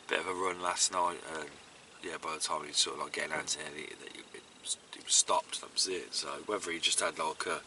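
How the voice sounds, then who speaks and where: Deep-voiced tired man by a lake. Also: fairly quick, hardly any pauses, slight background noise. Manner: relaxed, chatty, with many fillers. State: congested and tired. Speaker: fishing vlogger. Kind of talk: casual outdoor chat to camera. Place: outdoors at a lakeside.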